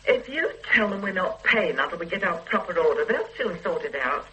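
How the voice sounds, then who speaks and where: An actress playing a fussy, complaining wife confined to bed with a bad back, in a radio drama. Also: female voice, fairly low pitch, sing-song, brisk, sharp tone, lo-fi sound. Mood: peevish. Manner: fussy, petulant and demanding. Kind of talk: 1970s radio drama acting. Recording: old radio recording with faint hiss.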